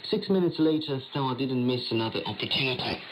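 A man's voice: medium pitch, lively intonation, fairly quick. Noisy shortwave radio sports newsreader, a man. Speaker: radio sports news presenter. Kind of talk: scripted sports news bulletin. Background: radio static and interference.

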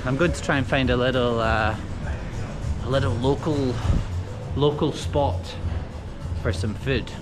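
A man's voice: mid-range voice, steady tone, measured pace, pauses often, over music. A man vlogging as he walks outdoors, chatty and upbeat, greeting people as he goes. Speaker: travel vlogger. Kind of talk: casual walking vlog narration. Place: outdoors on a busy street. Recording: street traffic noise behind.

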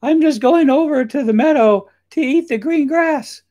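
A man voicing a small, frightened but crafty young billy goat in a storybook, pleading in a high little voice. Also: moderate pace, dark tone.

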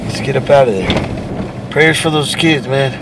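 A man's voice: mid-range voice, expressive, normal speed. A man hosting a livestream, chatting casually and in slang to his viewers as he covers police activity. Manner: slangy, addressing viewers as guys. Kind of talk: casual live commentary to viewers. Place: outdoors at night roadside, then in a car. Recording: noisy outdoor street and car ambience.

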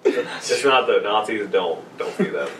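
A man's voice: on the low side, measured pace, creaky, fairly bright tone. Flippant young man in an echoey room. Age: young adult. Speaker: podcast panel member. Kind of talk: casual joking group chat. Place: small bare-walled room. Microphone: far from speakers. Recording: echoey room, distant mic, faint noise.

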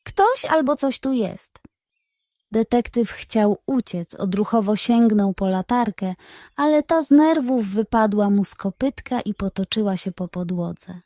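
A woman narrating a scripted children's bedtime story in a measured, expressive manner. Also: medium pitch, dry recording.

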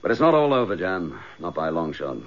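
An actor playing a determined chief inspector in a radio drama, with a clipped, forthright English delivery. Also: male voice, low-pitched, sing-song, fast talker. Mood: resolute. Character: determined, dogged police chief inspector, recently suspended. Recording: dull, lo-fi radio drama recording.

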